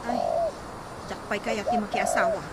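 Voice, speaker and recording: A woman playing an excitable, exasperated middle-aged unmarried aunt in a radio comedy drama, with an Ilocano accent and exclamatory delivery. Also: medium pitch, normal speed, creaky. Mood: defensive. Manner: exclamatory, expressive. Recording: very noisy audio with birds and traffic.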